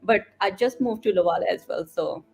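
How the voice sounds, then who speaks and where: A woman's voice: mid-range voice, moderate pace, slightly rough, faint music behind. A woman making casual small talk on a video call. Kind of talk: casual chat.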